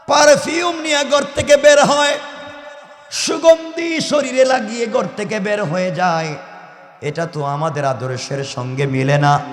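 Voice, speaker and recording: A Bangladeshi man delivers a religious sermon in a high, declamatory voice. He tells the story slowly and dramatically, stretching phrase ends into chant. The sound rings with long, echoey PA reverb. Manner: declamatory, sing-song chanting at phrase ends, calls on listeners to respond. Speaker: Islamic preacher.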